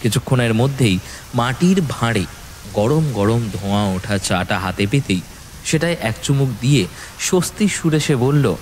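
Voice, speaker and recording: A man's voice: mid-range voice, lively intonation, moderate pace, slight background noise. A man narrating a ghost story as a scripted audio drama, in a dramatic storytelling style. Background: background music.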